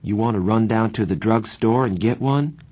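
A man's voice: lowish voice, moderate pace, warm tone, breathy edge. Man as an easygoing, cheerful teenage greaser. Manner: casual, folksy slang.

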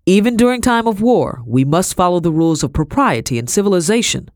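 A woman voicing a weary male colonel of colonial times, stiff and measured, in a storybook reading. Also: lowish voice, big pitch swings, a little mellow, no echo, studio quality, voice pushed up. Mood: measured, firm.

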